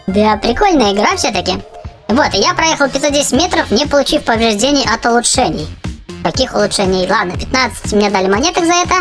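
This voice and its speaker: A youthful-sounding gaming host giving excited, chatty gameplay commentary, often exclaiming and repeating phrases. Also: mid-range voice, fast talker, over music. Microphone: voice-over on game footage.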